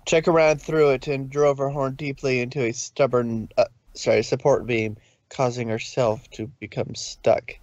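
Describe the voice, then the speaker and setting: A man's voice: medium pitch, little pitch movement, moderate pace, darkish tone, no echo, highs cut off. A man narrating a story informally, with a loose delivery in which he sometimes corrects himself. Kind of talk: informal fan fiction reading.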